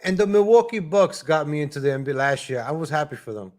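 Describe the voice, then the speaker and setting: Slightly high-voiced New man. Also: animated, fast talker, a little mellow. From born in Ecuador, grew up in New York. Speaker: caller. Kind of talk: casual chat.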